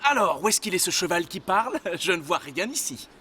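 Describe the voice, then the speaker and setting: A man voicing an excitable, pompous, imperious king in an animated fairy tale, with theatrical, commanding delivery. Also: high voice, fairly quick, slight background noise, full character voice. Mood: indignant. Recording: long hall reverb, music underneath.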